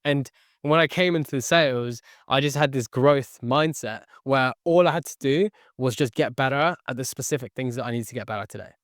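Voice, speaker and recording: A young man, a podcast guest whose first language was Tagalog, tells his story earnestly and in repeated phrases, checking in with "okay". His voice is smooth and clear at a mid pitch. He is recorded dry and close. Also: brisk, a bit bright, dry recording. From born near London, raised in the Philippines. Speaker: guest, sales entrepreneur. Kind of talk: podcast interview.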